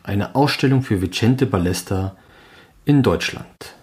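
Low-voiced man narrating a podcast. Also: unhurried, a little mellow. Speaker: fan podcast host and narrator. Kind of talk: scripted documentary narration.

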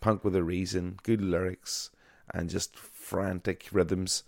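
A man's voice: deep voice, little pitch movement, slow pace, gravelly, dry recording. A man hosting a podcast from a small basement studio, chatty and jokey, rambling a little as he talks. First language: English only. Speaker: podcast host. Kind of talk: casual podcast interview.

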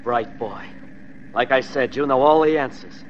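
An actor playing a suspicious armed fugitive killer in an old-time radio crime drama, with an American accent and curt, slangy threats. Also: male voice, mid-range voice, normal speed, close to an everyday voice. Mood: curt, threatening. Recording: dull, noisy vintage radio recording.

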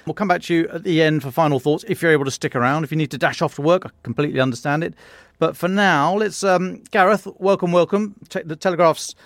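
A man presents a news podcast as its host. His voice sits a little high for a man, and the recording is fairly dry. He is courteous and conversational as he moves between guests. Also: quick pace, dry recording.

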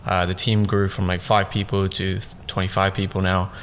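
Rambling young man, slightly low voice. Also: flat delivery, fairly quick, a little hiss or hum. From born in Russia, raised in southeast London. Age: 20s. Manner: loose and rambling, many fillers and "like"s. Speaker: podcast guest, business owner. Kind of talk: casual interview chat.

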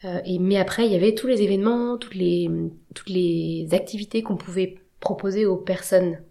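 A woman in her thirties answering interview questions, hesitant and full of pauses. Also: medium pitch, steady tone, dark tone. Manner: hesitant, many fillers and restarts. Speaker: podcast guest.

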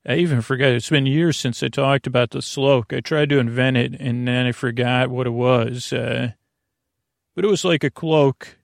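A man hosting a bedtime podcast in a rambling, halting monologue, circling back and losing his thread, with a creaky, gravelly voice. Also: mid-range voice, dry recording, studio quality. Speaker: podcast host. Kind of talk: rambling bedtime monologue to help listeners sleep.

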